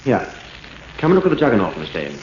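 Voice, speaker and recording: A man's voice: lowish voice, huge pitch swings, quick pace, a little mellow. A man acting an idealistic inventor in a 1940s radio drama, with an Australian accent. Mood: eager, proud. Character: idealistic, fervent male inventor devoted to his machine. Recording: dull, echoey, noisy old radio recording.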